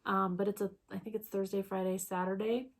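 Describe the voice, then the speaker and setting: A woman's voice: medium pitch, flat delivery, a little fast. A woman, co-hosting a casual stitching chat, rambling and chatty with plenty of fillers. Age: 40s. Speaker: co-host.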